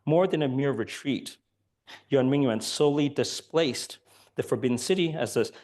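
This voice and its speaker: A hoarse man giving an academic lecture, speaking softly with many ums and uhs. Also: mid-range voice, normal speed. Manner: soft-spoken, many fillers. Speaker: guest lecturer.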